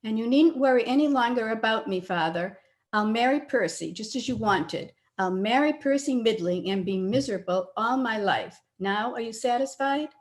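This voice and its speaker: An actress playing a wounded, bitter young daughter in an old-time radio melodrama, dramatic in manner. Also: female voice, on the high side, animated, normal speed, natural voice. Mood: bitter, anguished. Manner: accusing, dramatic.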